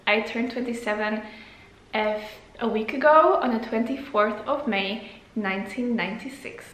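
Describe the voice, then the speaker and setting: A woman's voice: fairly high pitch, normal speed, fairly bright tone, reverberant. Chatty Polish-born woman in her twenties. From Poland. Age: 20s. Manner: chatty, loose, with fillers and restarts. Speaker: vlogger. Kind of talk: casual Q&A to camera.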